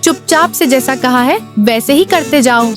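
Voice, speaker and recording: A woman's voice: high-pitched, unhurried, music in the background. A woman playing a greedy, scheming village wife in a cartoon, scolding and commanding with sarcasm. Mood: scheming, bossy. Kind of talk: Hindi cartoon voice acting.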